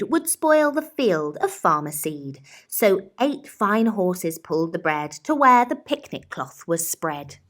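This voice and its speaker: A woman reading a children's picture book expressively, in a dramatic, rhythmic way that draws out words. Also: mid-range voice. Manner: dramatic, rhythmic rhyming delivery with drawn-out words. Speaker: storyteller.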